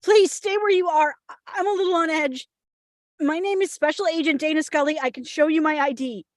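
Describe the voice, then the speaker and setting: A woman's voice: high-pitched, brisk, dry recording, clean studio sound, close to an everyday voice. A woman playing a frightened, defensive FBI agent in a scripted table read, nervous, stammering and trying to stay official. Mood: shaky, alarmed.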